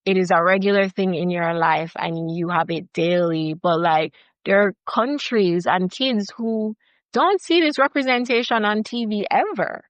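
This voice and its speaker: Earnest Jamaican woman, crisp dry voice. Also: medium pitch, a little slow, no echo. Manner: earnest, emphatic, many fillers like "like" and "you know". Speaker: filmmaker guest. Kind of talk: passionate conversational interview.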